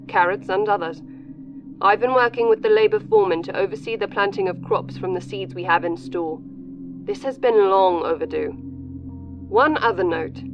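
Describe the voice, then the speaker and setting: A woman's voice: medium pitch, lo-fi sound, natural voice. An actress playing a methodical female colony scientist recording a log in a sci-fi audio drama, precise and matter-of-fact. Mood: methodical, concerned. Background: faint music.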